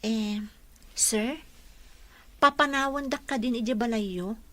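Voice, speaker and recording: A gentle, unhurried caregiver. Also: female voice, on the high side. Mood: fond. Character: kind young caregiver, gentle and teasing. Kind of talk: radio drama acting.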